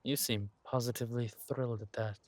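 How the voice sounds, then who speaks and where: A man voicing a suave, menacing villain king who is doting with his lover and talks in a smooth, teasing, courteous drawl for a roleplay audio drama. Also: mid-range voice, steady tone, fairly quick, no echo, close to an everyday voice. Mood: controlled, conspiratorial.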